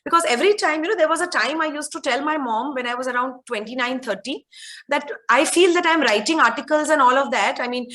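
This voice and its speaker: A woman's voice: high voice, fairly bright tone. A middle-aged woman author with an Indian accent, answering interview questions in a rambling way, thinking aloud and often restarting her sentences.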